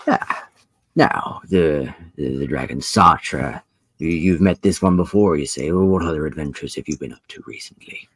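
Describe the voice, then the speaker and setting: A man voicing a courteous goblin sky pirate captain with a gruff, husky voice and a sailor's turns of speech, in a tabletop game. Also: rumbling low, normal speed, no room sound. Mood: courteous, curious.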